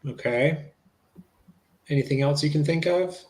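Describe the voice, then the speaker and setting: A man reading the part of a polite, low-key federal agent in a dramatic reading of a witness interview. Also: unhurried, darkish tone, close to an everyday voice. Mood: matter-of-fact. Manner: low-key, matter-of-fact.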